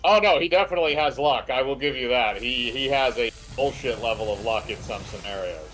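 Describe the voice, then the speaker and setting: A man's voice: mid-range voice, fairly quick, a bit bright, rough recording. A man co-commentating on a game stream in casual chat, argumentative and fond of explaining. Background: game music.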